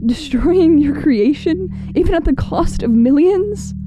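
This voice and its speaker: A woman playing an uneasy, deferential subordinate who confronts her master in an audio drama, hesitant and hushed. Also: sits high, expressive, a little slow, music in the background. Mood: appalled.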